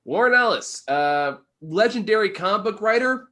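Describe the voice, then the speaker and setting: A man's voice: sits high, animated, normal speed, sharp tone. A man co-hosting a casual, unscripted review chat, talking in a wry and rambling way. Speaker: movie reviewer, co-host.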